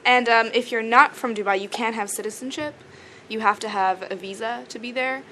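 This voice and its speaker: A woman's voice: on the high side, steady tone, brisk, bright, forceful tone, slight background noise. A young woman from South Africa, a native Afrikaans speaker, chatting easily as a musician guest, often giggling and joking dryly. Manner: giggly, easygoing, dry jokes. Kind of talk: casual interview chat.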